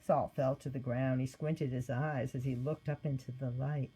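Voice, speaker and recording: A woman reading a scripted story aloud as narrator, with expressive delivery. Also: a bass voice, normal speed, soft background music, low-quality recording. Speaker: storybook reader.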